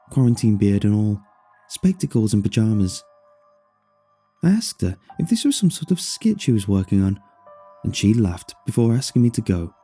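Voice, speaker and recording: A man narrating a scripted first-person horror story in a measured, expressive way over music. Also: lowish voice, slightly rough. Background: faint music.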